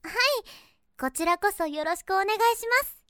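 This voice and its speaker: A woman's voice: very high-pitched, moderate pace, fairly bright tone, no echo, studio quality. An actress playing a sweet, polite and innocent young girl in an audio drama, speaking earnestly and hesitantly, easily flustered. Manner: earnest, deferential, hesitant speech. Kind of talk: Japanese voice drama acting.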